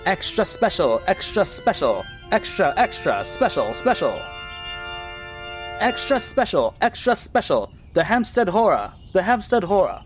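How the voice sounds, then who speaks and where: An actor playing a street newspaper seller, calling out repetitively in old-time radio drama style. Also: male voice, high-pitched, very animated, a little slow, music in the background. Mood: clamoring. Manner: repetitive sing-out hawking.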